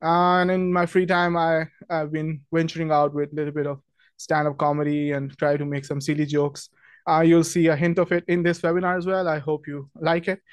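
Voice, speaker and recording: Young man from Pakistan, friendly webinar host, slightly higher voice, dry close sound, many fillers. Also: flat delivery, a little fast, clean tone, no echo. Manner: friendly, chatty, many ums and restarts. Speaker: webinar host, product marketing manager. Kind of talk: casual webinar presentation.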